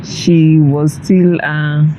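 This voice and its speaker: A caseworker speaking in a measured way with frequent uhs, describing the support given to a client. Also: mid-range voice, level tone, a crowd in the background. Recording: dull audio with faint background noise.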